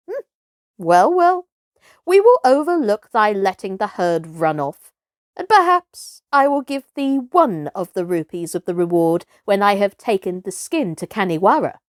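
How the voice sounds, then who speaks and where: A woman's voice: medium pitch, darkish tone, no echo, studio quality. A woman voicing a pompous, angry old village hunter who scolds self-importantly in a storybook. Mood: grudging, patronising.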